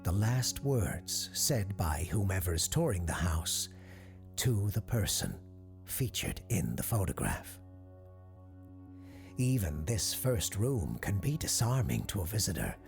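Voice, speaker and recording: Grave horror-podcast narrator, low voice, expressive range, deliberate storytelling, faint music behind. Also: male voice, creaky, heavily acted. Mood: grave, eerie. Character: grave male narrator recounting an eerie haunted attraction. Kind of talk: scripted horror audio drama narration.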